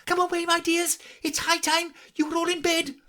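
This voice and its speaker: A man's voice: very high-pitched, flat delivery, moderate pace, bright, forceful tone, pitched above the performer's own voice. A man voicing a nervous mother canary with a trembling voice in a storybook reading. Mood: anxious.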